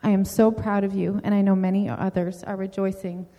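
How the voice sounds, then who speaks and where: A woman's voice: mid-range voice, little pitch movement, brisk, mellow. A woman giving an earnest, encouraging message to a newly baptized friend, ending with a read-out prayer. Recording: long reverb, hall-like.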